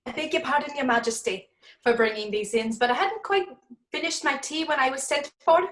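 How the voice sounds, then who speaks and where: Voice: high voice, fairly quick, a bit bright. A performer playing a flustered, apologetic hatter who stammers and hesitates, recorded in an echoing room. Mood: nervous, apologetic.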